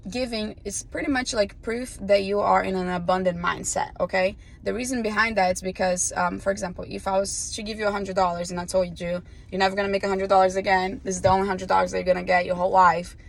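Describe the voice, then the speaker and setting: A young woman whose voice is fading and going hoarse talks in a steady, fairly flat tone, full of ums and likes. She sounds like a casual vlogger leading her book club, with clear traffic noise behind her in a car. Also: medium pitch. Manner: chatty, many fillers and restarts. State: losing her voice. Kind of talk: casual chat.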